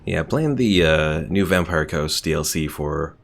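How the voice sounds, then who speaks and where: Deep, gravelly gaming streamer. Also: male voice, measured pace. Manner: relaxed, thinking aloud, chatting to viewers. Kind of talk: casual let's-play commentary. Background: game music.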